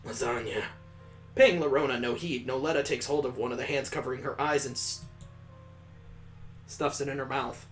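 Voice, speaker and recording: A man's voice: medium pitch, fairly even intonation, fairly quick, long pauses, fairly bright tone, soft background music. A man hosting a gaming video, narrating a visual novel with improvised asides as he reads aloud.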